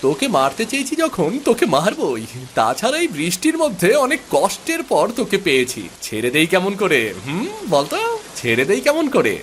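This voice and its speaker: A man's voice: sits high, huge pitch swings, normal speed, fairly bright tone, slight background noise, exaggerated voice. A man playing a stubborn, arrogant young prince out fishing in a children's cartoon. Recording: music behind the voice.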